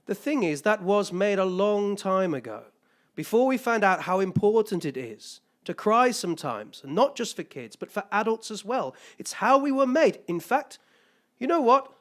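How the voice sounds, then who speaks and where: A man voicing a kindly, booming male rhino movie star while reading a rhyming picture book aloud. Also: animated, normal speed. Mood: earnest. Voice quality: loud deep-voiced delivery.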